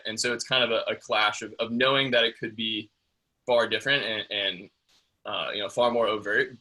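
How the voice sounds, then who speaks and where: A young man speaking as a panelist in a town hall discussion, thoughtful and pausing often on "um" and "uh.". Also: lowish voice, sharp tone, slightly rough. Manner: thoughtful, with frequent "um" and "uh".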